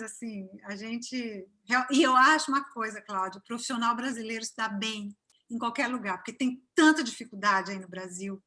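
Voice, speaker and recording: Uma mulher brasileira com sotaque de Cachoeira, na Bahia, conversando de forma calorosa e falante numa entrevista por chamada de vídeo. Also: female voice, a little high. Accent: Brazilian Portuguese, from Cachoeira (Bahia). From Brazil. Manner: warm, chatty, many fillers and restarts. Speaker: interview guest. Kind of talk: casual interview chat.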